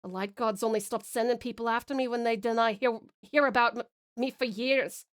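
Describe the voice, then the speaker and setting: A woman's voice: a little high, steady tone, fairly quick, close to an everyday voice. A woman voicing a dying, battle-weary woman in a storybook tale, wry and resigned, with an attempted Scottish accent and blunt old-fashioned speech. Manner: archaic dialect, weary and blunt.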